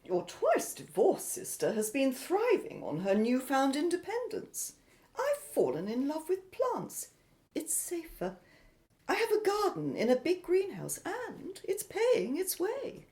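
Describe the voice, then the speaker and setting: An actress plays an independent divorced sister in her forties for a radio drama. Her voice sits extremely high and swings widely in pitch as she speaks. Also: wildly swooping pitch, a little slow, a little mellow, full character voice. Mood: bright, contented. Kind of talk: amateur radio drama acting.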